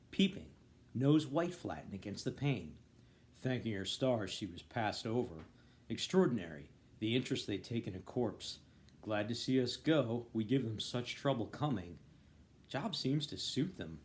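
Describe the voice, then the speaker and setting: A man narrates a novel in an expressive literary reading. His voice sits at a medium pitch and moves with very lively, varied intonation. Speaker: reader of a novel, volunteer.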